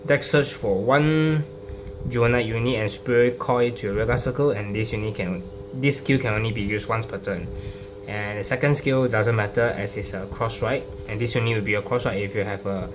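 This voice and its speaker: A man casually presenting a card game deck, explaining it unscripted and card by card in a halting, hesitant way. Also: medium pitch. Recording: clearly noisy home recording with hum.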